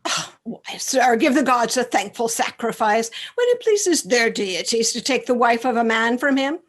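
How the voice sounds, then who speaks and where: A woman acting a measured attendant in a Shakespearean radio play, speaking deliberately and expressively. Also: a little high, expressive. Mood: wry, reasoning. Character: measured soothsayers, messengers and loyal male soldier.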